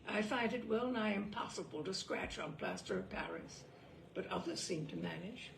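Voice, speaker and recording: Gravelly, wryly expressive woman reader. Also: medium pitch, moderate pace, reverberant, noisy background. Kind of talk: reading humorous essays aloud.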